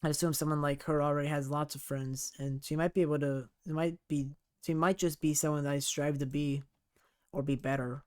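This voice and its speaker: A man's voice: on the high side, flat delivery, fairly quick, darkish tone, clean tone. A man playing a visual novel, reading the game's text aloud and breaking off for chatty asides, often correcting himself mid-sentence. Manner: chatty, self-correcting reader, laughs at himself. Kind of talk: let's play, reading game text aloud with casual commentary.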